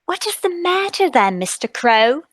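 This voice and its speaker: An actress playing a kindly, concerned lady friend in a radio-play table read, gentle, fussing and dramatic. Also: sits high, big pitch swings, normal speed, no room sound, studio quality.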